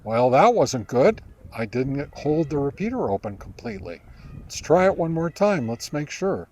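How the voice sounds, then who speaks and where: An American man giving a casual field-test review outdoors, speaking in a halting way as he thinks aloud. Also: a little high, sing-song, darkish tone. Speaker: amateur radio reviewer. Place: outdoors in a park.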